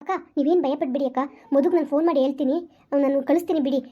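A woman voicing a kind young woman who gently comforts a crying relative over the phone. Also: high-pitched, steady tone, very fast, highs cut off, natural voice. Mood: soothing. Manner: soothing, reassuring.

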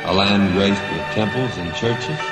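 A man giving a solemn patriotic recitation with a slow, measured, deliberate delivery. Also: on the low side, roomy sound, loud music behind the voice. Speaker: narrator.

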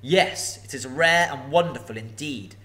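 A man voicing a huge, boastful old male dragon, slow and emphatic as he gloats and threatens. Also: fairly high pitch, expressive, sharp tone, voice pushed up. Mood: proud.

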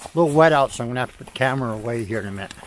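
An older man casually narrating his hike on a snowy forest trail, talking into a handheld camera with relaxed, rambling pauses. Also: medium pitch, slight background noise. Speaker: hiker vlogging a trail. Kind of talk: casual narration while hiking. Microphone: handheld camera at arm's length.

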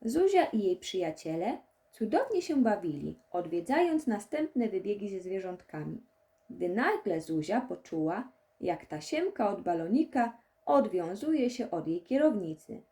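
A woman narrates a scripted story for young children. Her voice is mellow and sits at a middle pitch, and her delivery is expressive, with lively swings in intonation. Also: unhurried. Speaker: audiobook narrator.

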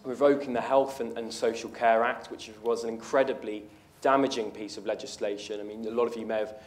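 A man's voice: mid-range voice, little pitch movement, a little fast, slight background noise. A young man, a political candidate, answering audience questions in a university lecture hall in an earnest way, with many ums and restarts. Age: young adult. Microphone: room mic at a lectern, far camera.

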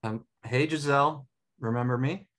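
A man's voice: mid-range voice, moderate pace, a bit bright, natural voice. A man playing a laid-back, supportive buddy in his thirties, casual and easygoing, at a comedy table read on a video call. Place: home room on a video call.

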